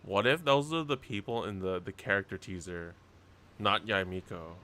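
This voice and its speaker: A young man streams a game with casual reaction commentary. His voice is on the low side and his phrasing is halting and informal. Game ambience and noticeable noise sit behind him. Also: pushed and bright. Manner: informal, halting, thinking aloud. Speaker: gaming streamer. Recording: noisy stream with game sound.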